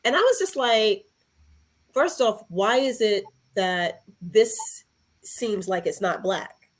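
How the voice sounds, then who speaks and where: Woman from Harlem, medium-pitched, reflective conversation. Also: takes it slowly. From Harlem, New York City. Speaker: featured podcast guest.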